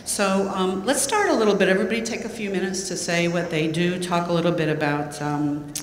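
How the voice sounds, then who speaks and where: A woman's voice: lowish voice, brisk. A woman moderating a panel, joking and chatty in an informal way. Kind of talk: hosting a panel. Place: lecture hall. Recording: echoey hall, faint room noise.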